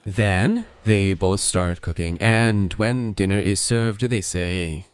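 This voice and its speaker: Casting: male storyteller, lowish voice, scripted narration, dry studio-like sound. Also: takes it slowly, clean tone, no room sound.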